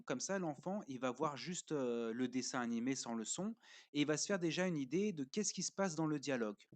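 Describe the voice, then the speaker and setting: A man speaking casually as a parent reviewing a learning platform, pausing often with fillers and explaining step by step while he shows a screen. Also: a little high. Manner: hesitant, pauses often with fillers.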